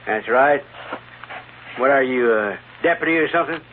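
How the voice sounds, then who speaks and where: A man's voice: mid-range voice, moderate pace, close to an everyday voice. An actor playing an ornery, uneducated frontier gunman in a 1950s radio western, with a folksy, blustering Western drawl. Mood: suspicious. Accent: American West drawl. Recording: tinny, faintly noisy old radio.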